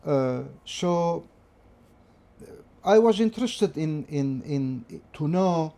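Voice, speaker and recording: A middle-aged man from Lebanon with a Lebanese accent, a professor recalling his family history and studies in an interview, speaking in a measured, hesitant way with many fillers and restarts. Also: on the high side, lively intonation, mellow. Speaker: guest, political science professor. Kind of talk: interview, personal recollection.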